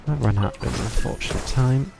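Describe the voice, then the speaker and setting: Man, game streamer, creaky voice, hesitant muttering, casual thinking-aloud commentary, game music in background. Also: medium pitch, fairly quick. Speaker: game livestreamer. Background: video game music.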